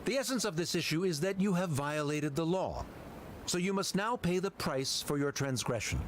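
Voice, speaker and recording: An actor playing a stern, cold, accusing academy official in a video game, speaking formally and imperiously. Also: male voice, fairly high pitch, expressive, normal speed, a little hiss or hum, lightly acted. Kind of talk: scripted video game voice acting.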